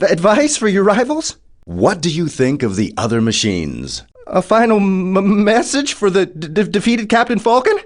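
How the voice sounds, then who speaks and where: A man playing an excitable TV reporter in a video game, putting interview questions to race winners in a showy announcer manner. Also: on the high side. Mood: nervous, stammering.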